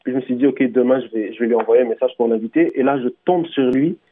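Fast-talking rapper over a phone line. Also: male voice, medium pitch, slight background noise. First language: Tunisian Arabic. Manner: rambling, full of restarts and fillers. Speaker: rapper, interview guest. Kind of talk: radio interview answers.

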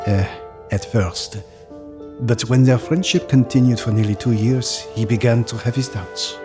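A man's voice: medium pitch, measured pace, over loud music, lightly acted. A man acting in an audio drama as a reflective grown son recounting family history. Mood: reflective, measured. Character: reflective grown son recounting his parents' troubled marriage.

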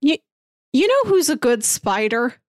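A woman's voice: high voice, sing-song, measured pace, dry recording, clean studio sound. A woman co-hosting a podcast, chatting casually and playfully about pop culture. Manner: playful, informal. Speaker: podcast co-host.

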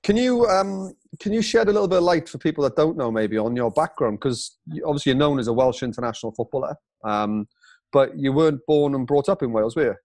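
A man in his early forties hosting an interview, asking an old friend questions in a quick, casual way. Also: mid-range voice, a little mellow, no room sound, studio quality. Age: early 40s. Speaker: interview host.

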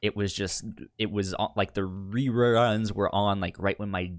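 Low-voiced, stammering man in casual chat. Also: steady tone, moderate pace, no echo, clean studio sound. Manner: stammering, repeats word starts. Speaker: podcast co-host. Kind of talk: casual chat about TV sitcoms.

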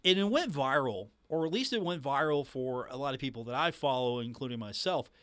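A man's voice: mid-range voice, expressive, fairly quick, fairly bright tone, no echo. A man hosting sports radio, talking casually and emphatically to listeners on a close studio mic. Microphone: close studio microphone.

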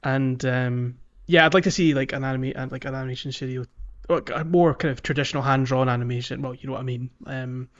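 A man chatting casually on a podcast, talking in a hesitant, halting way. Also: mid-range voice, steady tone, muffled recording. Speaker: podcast co-host.

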